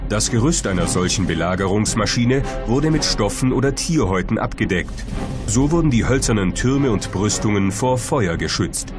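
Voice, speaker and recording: A man's voice: lowish voice, lively intonation, moderate pace. A man reading scripted documentary narration. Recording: loud music under the voice. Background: music.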